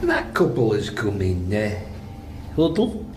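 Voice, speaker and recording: A man acting in a radio drama as a gruff, seasoned police detective with a blunt, colloquial, clipped manner. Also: on the low side, huge pitch swings, takes it slowly, full character voice. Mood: brisk, dry. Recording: roomy echo, faint noise.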